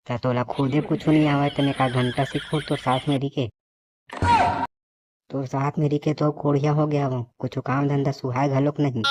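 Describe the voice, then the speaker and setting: Man acting weary cartoon grumbler, medium pitch, Chhattisgarhi accent, sarcastic, complaining, faint background noise. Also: lightly acted. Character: grumbling, weary male cartoon sidekick and reluctant husband. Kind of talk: comic cartoon dialogue. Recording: dull lo-fi audio, faint noise.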